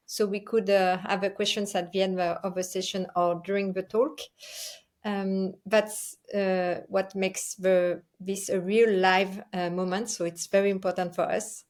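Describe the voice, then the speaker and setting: Female host, native French speaker with French accent, hesitant live-presenter delivery, mid-range voice. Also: mid-range voice. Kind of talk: presenting a live online talk show.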